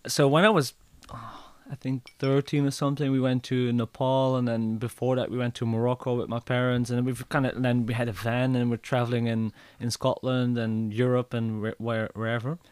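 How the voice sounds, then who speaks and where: A man's voice: medium pitch, little pitch movement, normal speed, few pauses, a little mellow, clear, resonant tone, dry recording. A man in his thirties from Belgium with a Flemish accent, speaking English as a second language, talking casually with frequent fillers and restarts. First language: Dutch (Flemish). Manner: hesitant, many restarts and fillers. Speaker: guest, motorbike traveler. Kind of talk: casual conversation.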